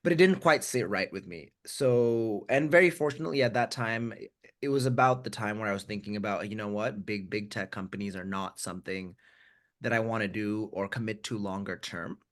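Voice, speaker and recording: A man in his thirties, from India, speaks with an American accent in a fairly low voice. He tells his story frankly and reflectively over a video call, often repeating words as he restarts his sentences. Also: fairly quick, fairly bright tone. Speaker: guest. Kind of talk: candid conversational storytelling.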